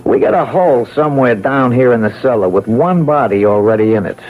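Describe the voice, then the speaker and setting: A jumpy American male small-time crook played by a radio actor, rough in his grammar and full of slang. His pitch swings widely in classic radio drama fashion, over a faint layer of noise. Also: a little fast, phone-quality sound, lightly acted. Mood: grim, scheming. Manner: excitable, rough colloquial grammar, stammering when scared. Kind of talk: vintage radio drama acting.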